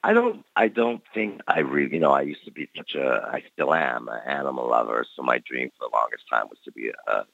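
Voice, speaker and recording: Very deep gravelly man, easygoing. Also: very animated, fairly quick, hardly any pauses, a bit bright, no room sound. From Canada. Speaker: dancer and guest. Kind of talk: casual interview talk. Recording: dry, dull lo-fi recording.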